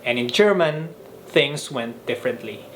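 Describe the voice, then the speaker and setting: A young man from the Philippines, Filipino his first language, telling in a measured, earnest way how he learned languages on his own. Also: mid-range voice, animated, a bit bright, slight background noise, low-quality recording. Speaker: language vlogger. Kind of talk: scripted vlog narration.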